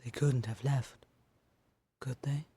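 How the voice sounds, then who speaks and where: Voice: medium pitch, steady tone, slow pace, dark tone, breathy edge, no echo, pitched below the performer's own voice. A podfic reader voicing an anguished, brooding male digital phantom who mutters anxious questions to himself. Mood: uneasy doubt.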